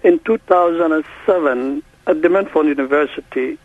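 A man whose first language is Somali, a court interpreter speaking as a guest in a halting way, with many restarts. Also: on the high side. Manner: halting, restarts often, non-native phrasing. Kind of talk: phone interview. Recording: tinny phone line.

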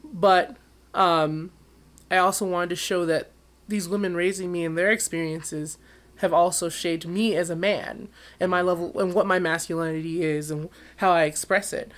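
A man who sings professionally, talking as an interview guest with a high voice and an animated, storytelling manner. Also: normal speed. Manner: animated storyteller, acts out quotes with big leaps in pitch. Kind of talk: conversational interview.

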